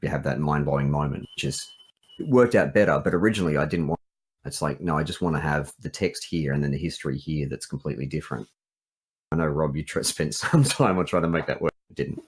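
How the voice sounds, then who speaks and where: Australian man, extremely low and husky, thinking aloud in relaxed developer talk. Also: fast talker. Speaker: visual novel writer and developer. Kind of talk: casual development chat.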